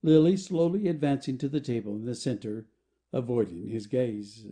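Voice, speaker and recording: A man's voice: mid-range voice, normal speed, warm tone. A man narrating an audio play, reading out scripted stage directions.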